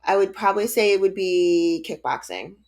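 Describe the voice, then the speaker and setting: A woman's voice: on the low side, lively intonation, normal speed, a little mellow. A woman in her late thirties co-hosting a podcast, chatting casually in an upbeat way and laughing often. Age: 30s. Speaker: podcast co-host. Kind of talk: casual chat.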